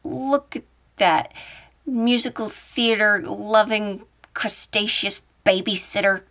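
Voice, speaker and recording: A woman's voice: mid-range voice, slow pace, long pauses, a bit bright. A woman casually talking through a show-and-tell of her things, chatty and enthusiastic. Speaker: YouTube audio creator showing her collection.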